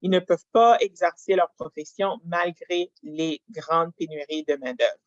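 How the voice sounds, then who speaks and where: A webinar moderator speaking formally and in a measured, hesitant way, with drawn-out pauses, presenting over a video call. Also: medium pitch, dry recording. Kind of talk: formal presentation, introducing panelists. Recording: dull lo-fi online call audio.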